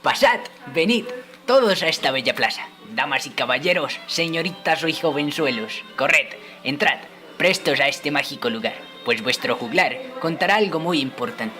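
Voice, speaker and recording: Medieval street minstrel played by a man, theatrical and declamatory, educational radio drama, music clearly underneath. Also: a little high, expressive, normal speed, pushed and bright. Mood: grand, inviting. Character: theatrical medieval minstrel summoning a town crowd. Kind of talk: amateur educational radio play.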